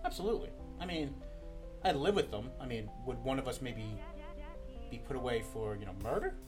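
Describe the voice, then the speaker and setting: A podcast guest answering questions with dry, deadpan humor. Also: medium pitch, fairly quick, over music. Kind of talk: casual interview.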